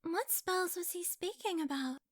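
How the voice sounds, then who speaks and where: A woman's voice: very high voice, a little slow. A woman playing an innocent, curious young gamer in a fantasy audiobook, with a sweet, inquisitive manner. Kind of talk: audiobook character acting. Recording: clear background noise and ambience.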